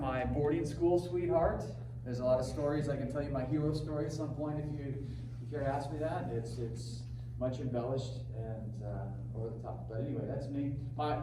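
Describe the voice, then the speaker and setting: A man, a history teacher, giving a casual talk to students, conversational and halting with many fillers. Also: fairly high pitch. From Congo (Zaire). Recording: very echoey room, voice far off, audible noise.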